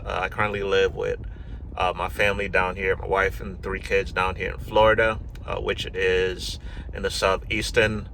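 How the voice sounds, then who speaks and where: Man, from Ghana, middle-aged, low voice, vlog storytelling, rambling with fillers, faint background noise. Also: vocal fry. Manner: relaxed, rambling, many fillers and restarts. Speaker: YouTube vlogger. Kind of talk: casual personal storytelling to camera.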